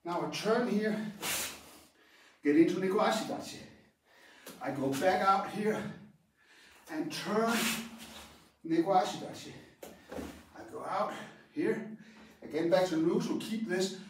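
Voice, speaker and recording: A man with a fairly high voice walks through karate stances while talking, in a plain and halting way with pauses between moves. He stands well back from the camera in a large dojo. The room rings with a strong echo. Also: noisy background. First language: Danish. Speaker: karate instructor. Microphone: camera some distance away while he moves. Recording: very echoey hall, voice far from the mic.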